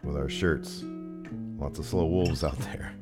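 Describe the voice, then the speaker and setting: A man's voice: a bass voice, fairly even intonation, a little slow, loud music behind the voice. A man co-hosting a casual livestream with wry, offhand banter. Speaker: podcast co-host.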